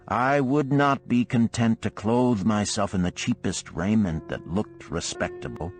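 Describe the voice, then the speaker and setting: A man's voice: deep voice, heavily acted. A man voicing a wealthy, wise Babylonian man in a storybook, lecturing his friends in a grave, formal way. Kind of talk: storybook character reading.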